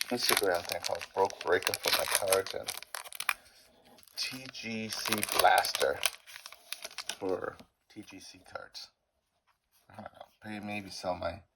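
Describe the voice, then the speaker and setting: A man's voice: fairly low pitch, gravelly, background noise. A middle-aged man with a Boston accent, rambling casually while opening card packs and stumbling over card names. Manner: rambling, self-deprecating, fumbles card names. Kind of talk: casual pack-opening commentary.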